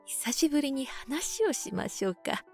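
A woman playing a frail, gentle elderly mother in a voice drama, speaking softly and tenderly as she reminisces. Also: high voice, big pitch swings, gravelly, faint music behind, full character voice. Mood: weak, tender. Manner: soft, tender, unhurried. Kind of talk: voice drama acting and first-person narration.

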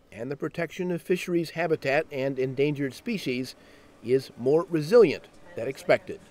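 A man giving a scripted, measured narration for a science news segment. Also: fairly high pitch, darkish tone.

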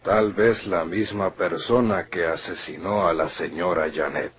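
An actor playing a grave, steady confidant with a Mexican accent in an old radio drama. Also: male voice, sits low, unhurried, breathy edge, full character voice. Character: grave, steady older male confidant bringing bad news. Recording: muffled old radio recording.

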